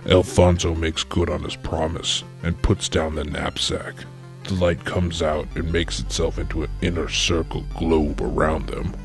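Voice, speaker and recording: A man narrating a scripted comedic adventure story in a deadpan manner. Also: extremely low pitch, measured pace, vocal fry, soft background music.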